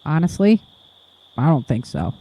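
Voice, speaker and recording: A woman playing a caring, honest survivor in an audio drama, gentle and direct in manner. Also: deep voice, very animated, unhurried, heavy background noise. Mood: distressed, honest. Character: caring, honest female survivor comforting a child.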